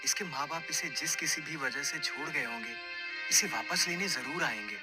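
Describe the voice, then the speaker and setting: A man's voice: a little high, moderate pace, loud music behind the voice, natural voice. A man voicing a practical, questioning village farmer and husband in a cartoon moral story, earnest and admonishing.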